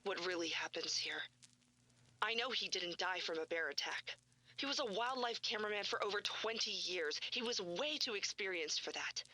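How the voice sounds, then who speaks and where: An actress playing a distraught, defiant widow, emotional and insistent, in a found-footage horror drama. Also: female voice, medium pitch, animated, moderate pace, pushed and bright, poor audio. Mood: accusing, distraught.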